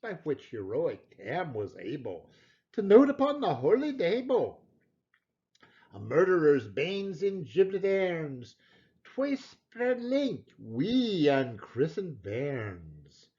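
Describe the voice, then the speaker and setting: A man's voice: fairly high pitch, wildly swooping pitch, dark tone. Dramatic man reciting poetry, widely swooping voice. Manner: dramatic, emphatic delivery with deliberate pauses. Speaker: poetry reader. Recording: dull lo-fi recording.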